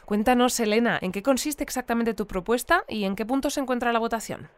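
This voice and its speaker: An actress playing a composed, articulate radio news interviewer in an audio drama. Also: female voice, fairly high pitch, fast talker, smooth, dry recording, natural voice. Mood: engaged, informative. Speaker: radio presenter.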